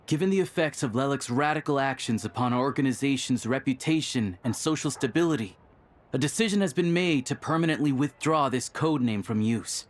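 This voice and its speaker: A man playing a formal, solemn spokesman of a secret order, delivering a measured proclamation in a video game. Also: medium pitch, expressive, lightly acted.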